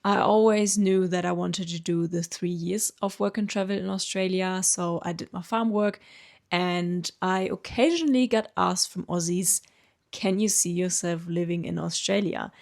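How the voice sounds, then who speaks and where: A German woman in her late twenties, a native German speaker with a German accent, calmly telling her own story in an earnest, reflective vlog. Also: fairly low pitch, moderate pace, vocal fry. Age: late 20s. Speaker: vlogger. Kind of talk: reflective personal narration.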